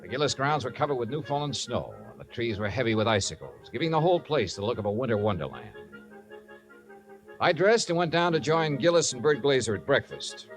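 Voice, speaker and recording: A man's voice: mid-range voice, sing-song, a little fast, natural voice, rough recording. A man playing a laconic, dry-witted insurance investigator who also narrates, in a 1950s radio drama. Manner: laconic, dryly wry. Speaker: narrator and lead detective. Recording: vintage radio recording with music.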